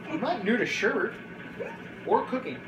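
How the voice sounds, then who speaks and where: A man's voice: sits high, sing-song, moderate pace. A man teasing and cracking jokes as a friend on a home cooking show in a kitchen. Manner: teasing, wisecracking. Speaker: co-host friend. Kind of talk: casual cooking show banter. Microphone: far camera. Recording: roomy, noisy kitchen audio.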